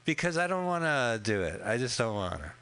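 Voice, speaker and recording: A man's voice: mid-range voice, very animated, a little fast, vocal fry, a bit bright. A man co-hosting a podcast, chatting casually and jokingly about a film as it plays, swearing often and rambling. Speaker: podcast co-host. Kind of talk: casual movie commentary chat.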